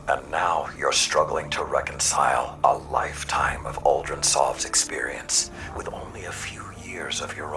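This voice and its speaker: An actor playing a stern, honorable, battle-worn old warlord in a video game, speaking in a gravelly, measured, solemn way. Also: male voice, extremely low pitch. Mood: stern, hushed. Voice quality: gravelly, weighty. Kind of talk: dramatic video game cutscene acting.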